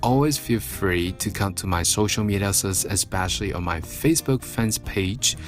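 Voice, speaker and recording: A man's voice: lowish voice, normal speed, faint music behind. A man hosting a casual evening radio show alone, chatty and self-mocking, rambling between songs. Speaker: radio host. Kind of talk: casual solo radio talk. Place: radio studio. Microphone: studio mic.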